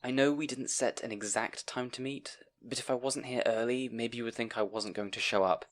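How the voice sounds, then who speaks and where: A man's voice: mid-range voice, fairly even intonation, brisk, natural voice. A man playing a timid, bookish young scribe in a fantasy audio roleplay, hesitant and apologetic, rambling and stammering. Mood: anxious, rambling. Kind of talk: audio roleplay acting addressed to the listener.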